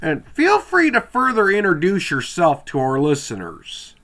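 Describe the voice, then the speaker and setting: A male podcast host with a high, bright voice that swings widely in pitch. He reads his introduction and questions from a list, pausing on "uh" between phrases. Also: wildly swooping pitch. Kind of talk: scripted intro and interview questions.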